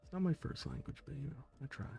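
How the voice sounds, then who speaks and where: Gravelly soft-spoken male game streamer. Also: animated, fast talker, soft background music. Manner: low-key and soft-spoken, joking, sometimes whispering. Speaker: game streamer reading chat. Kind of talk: casual gaming stream chat.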